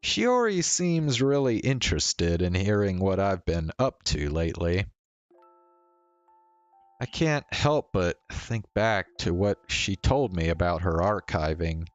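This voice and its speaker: A man reading a visual novel aloud as first-person narration, in a measured, conversational way. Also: sits low, big pitch swings, no room sound, lo-fi sound, faint music behind. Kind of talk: first-person story narration with acted dialogue.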